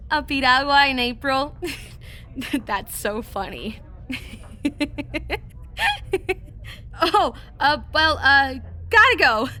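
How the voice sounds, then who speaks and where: A woman's voice: high-pitched, lively intonation, exaggerated voice. A woman playing giggly flustered teen Janelle. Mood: nervous. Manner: giggly, bright and quick to fluster. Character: teenage girl Janelle, playful and flustered. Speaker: character in audio drama. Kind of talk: audio drama acting.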